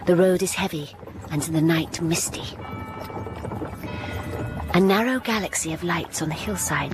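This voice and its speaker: Woman as reserved young governess, low soft voice, hushed narration, music score beneath. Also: lightly acted. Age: young adult. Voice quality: soft, restrained, often near a whisper. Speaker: narrator and lead. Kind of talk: first-person drama narration.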